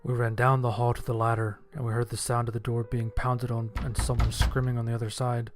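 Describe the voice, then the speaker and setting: A man narrates a horror story in the first person at a middling pitch. Faint music plays behind his scripted reading. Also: level tone, brisk. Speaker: audiobook narrator. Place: voice-over with background music.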